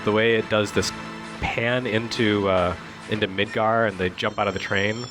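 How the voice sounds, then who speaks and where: A man's voice: lowish voice, fairly even intonation, moderate pace. A man giving casual, opinionated and wry commentary on video games. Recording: music behind the voice.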